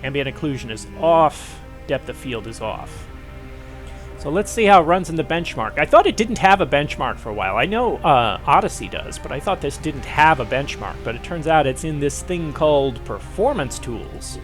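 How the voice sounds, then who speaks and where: A man with a stuffy, cold-blocked voice, giving a rambling, casual commentary over gameplay as host, with many pauses and fillers. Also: a little high, very animated, soft background music. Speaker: gaming channel host.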